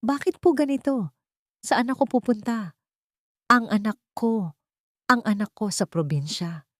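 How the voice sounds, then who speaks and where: A woman's voice: a little high, unhurried, dry recording, studio quality, voice pushed up, natural voice. A woman voicing a despairing young mother, an exhausted housemaid in an audio story, weak and trembling.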